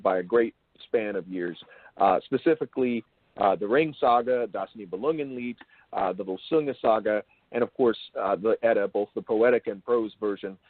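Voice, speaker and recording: A man with a lowish voice hosts an interview over a thin, phone-like connection. He speaks in a halting, bookish way, building long and wordy questions. The sound is dry, with little room to it. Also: no room sound. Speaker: interviewer and show host. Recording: thin phone-like call audio.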